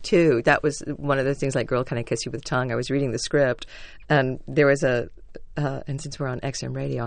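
A stage actress with a low voice, telling chatty, self-deprecating stories as a radio interview guest. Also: female voice, gravelly, dry recording.

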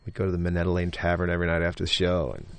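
A man, a stage actor and singer, talking enthusiastically as a guest in an interview, with a loud, braying voice. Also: low-pitched, flat delivery, racing, gravelly, a little hiss or hum. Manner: enthusiastic, effusive. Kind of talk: casual interview talk.

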